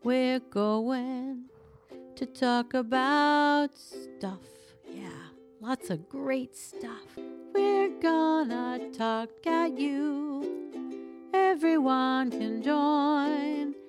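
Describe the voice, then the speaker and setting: A woman's voice: high voice, drawn-out words. A woman singing a podcast's theme song. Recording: with ukulele music.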